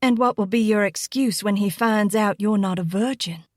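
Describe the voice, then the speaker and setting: A small, shrewd younger cousin, played by a female narrator, calm and pointed. She answers casually with quiet defiance, in an audiobook character style at a medium female pitch. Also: normal speed, no echo, studio quality, close to an everyday voice. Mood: cool, defiant.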